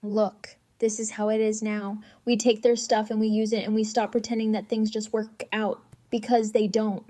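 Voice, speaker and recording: A woman's voice: mid-range voice, flat delivery, moderate pace, hardly any pauses, mellow, dry recording. A woman acting a blunt, hard-edged young character in a fan audio drama.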